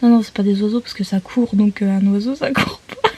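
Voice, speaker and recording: A woman's voice: medium pitch, a little fast, a little mellow, breathy edge. A woman chatting casually as a book vlogger, rambling off on tangents and poking fun at herself. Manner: self-mocking, jokey, digressive. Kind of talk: casual rambling vlog chat.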